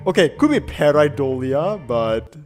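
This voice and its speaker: A man chatting casually as he reacts on stream. His pitch swings widely as he thinks aloud, full of fillers and restarts. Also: sing-song, a little slow, soft background music. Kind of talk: casual chat.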